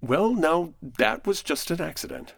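Woman playing an earnest, low-voiced gentleman neighbor. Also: animated, a little slow, vocal fry, full character voice. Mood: awkward, polite. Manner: wholesome, hesitant, old-fashioned. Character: earnest, genial, awkwardly hinting gentleman lawyer neighbor. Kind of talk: comic radio play acting.

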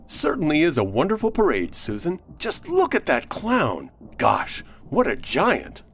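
A woman's voice: low voice, wildly swooping pitch, measured pace, heavily acted. A woman acting a genial, hesitant, wholesome male lawyer in a comic radio play, over faint noise. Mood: cheerful, earnest. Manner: wholesome, hesitant, old-fashioned. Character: earnest, genial, awkwardly hinting gentleman lawyer neighbor.

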